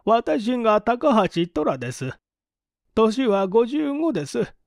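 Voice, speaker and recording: A man's voice: very high-pitched, sing-song, slow, creaky, darkish tone, dry recording, clean studio sound, pitched above the performer's own voice, full character voice. A man voicing a polite village housemaid in her fifties who gives testimony in a mystery audiobook. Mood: polite, matter-of-fact. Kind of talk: audiobook reading of a vintage mystery.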